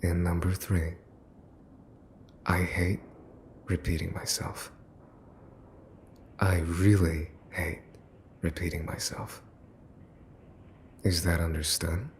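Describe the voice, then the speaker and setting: A man acts out a mafia boss's son for an ASMR roleplay, in a very low voice. He speaks slowly and deliberately, repeating himself with theatrical sarcasm and polite menace. The sound is roomy and echoing. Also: flat delivery. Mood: teasing, menacing. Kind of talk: ASMR boyfriend roleplay acting. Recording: roomy echo, faint background noise.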